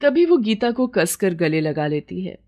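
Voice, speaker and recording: A woman's voice: mid-range voice, sing-song, a little slow, darkish tone. A woman narrating a scripted moral story as the storyteller.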